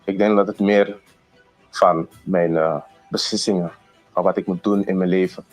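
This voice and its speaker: A man's voice: sits low, steady tone, moderate pace, soft background music. A young man giving a hesitant testimonial, searching for his words. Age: young adult. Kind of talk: testimonial interview.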